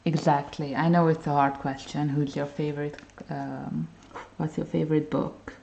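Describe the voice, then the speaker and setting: A woman's voice: sits low, flat delivery, moderate pace, creaky, darkish tone, slight background noise. A woman from Hungary hosting a coloring livestream, chatting casually with viewers and reading their comments aloud. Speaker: livestream host. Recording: dull lo-fi stream audio.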